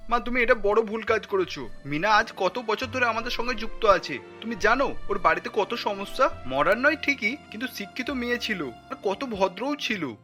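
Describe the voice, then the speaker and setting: A man playing high, animated, earnest son. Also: moderate pace, few pauses, faint music behind. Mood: reproachful. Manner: earnest, reproachful. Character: principled adult son, earnest, protests his mother's snobbery.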